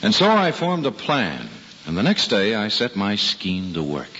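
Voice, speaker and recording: A man's voice: fairly low pitch, wildly swooping pitch, moderate pace, bright, forceful tone, a little hiss or hum, heavily acted. A man playing a grown son wryly narrating his boyhood memories, in an old-time radio drama. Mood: wry, nostalgic. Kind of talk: scripted first-person radio drama narration. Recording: muffled old radio recording. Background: music.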